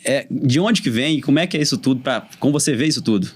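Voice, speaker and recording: A man's voice: mid-range voice, expressive, fast, husky. A man hosting a podcast, chatting and laughing easily. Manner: friendly, laughs often, reads ad. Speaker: podcast host. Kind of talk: casual podcast chat.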